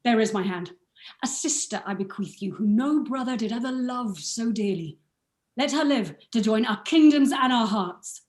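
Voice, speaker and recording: A proud and guarded ruler acted by a woman in a Shakespearean radio drama. The voice is fairly high and the delivery formal and measured. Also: animated, darkish tone, reverberant, slight background noise, lightly acted. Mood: formal, conciliatory. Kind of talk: live radio play acting in Shakespearean verse.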